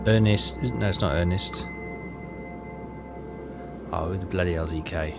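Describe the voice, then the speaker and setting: A man's voice: low voice, unhurried, creaky. A man giving casual game commentary, muttering and hesitant as he thinks aloud. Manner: muttering, hesitant, puzzling things out. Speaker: gamer doing a walkthrough. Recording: music behind the voice. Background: game music.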